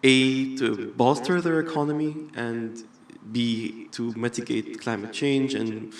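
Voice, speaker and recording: A man's voice: medium pitch, steady tone, slow. A young man from Egypt, speaking up at a conference, hesitant and filling his sentences with "uh" and "like". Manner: hesitant, lots of "uh" and "like". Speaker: conference audience participant. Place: large conference hall.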